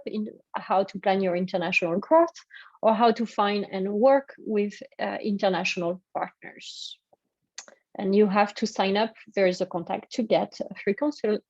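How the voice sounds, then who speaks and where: A woman's voice: medium pitch, no room sound, lo-fi sound. A woman from France with a French accent, presenting a business workshop over a video call, hesitant and full of fillers. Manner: hesitant, many fillers and restarts. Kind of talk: webinar presentation.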